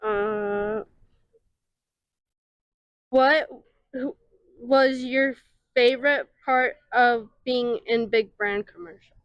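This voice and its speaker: A woman's voice: a little high, steady tone, drawn-out words, long pauses, no room sound, highs cut off. A woman asking casual questions as an interviewer.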